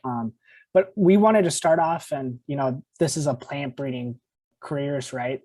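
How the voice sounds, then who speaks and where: A man's voice: medium pitch, a little slow, mellow, no room sound. A man moderating a webinar panel in a casual, easygoing way. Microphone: video call.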